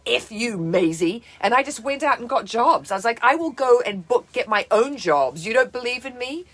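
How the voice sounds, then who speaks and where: A woman in her fifties from New Zealand with a New Zealand accent, a co-host and former actress telling animated stories in casual chat. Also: fairly high pitch, moderate pace. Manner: animated, laughing storyteller.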